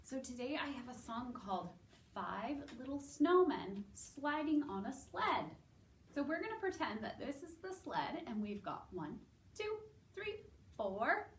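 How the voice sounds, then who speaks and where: A woman with a very lively, slightly high voice leads a story time for small children. She is sing-song and encouraging, leaving space for little listeners to respond. The sound is roomy and echoing, picked up a little way from the microphone. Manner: sing-song, animated, encouraging, asking questions and pausing for answers. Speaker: children's story time host at a public library. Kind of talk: children's storytelling and singing, addressing young listeners. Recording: roomy echo, distant microphone.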